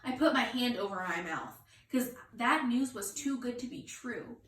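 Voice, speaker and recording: A woman teacher reading a children's story aloud with expressive storytelling. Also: a little high, normal speed. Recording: roomy echo, distant mic.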